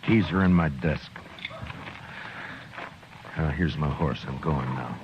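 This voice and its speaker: An actor playing a terse, deadpan, stubborn American lawman in an old-time radio western. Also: rumbling low, slow, noisy background. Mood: resolute. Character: grim, stubborn, laconic Old West lawman. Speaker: lead actor and narrator. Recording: dull vintage radio recording, music behind.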